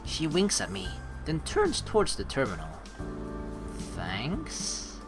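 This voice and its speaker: A woman's voice: sits low, wildly swooping pitch, slow, vocal fry. A woman hosting a let's play, switching between reading game text aloud and casual, playful joking commentary. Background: game music.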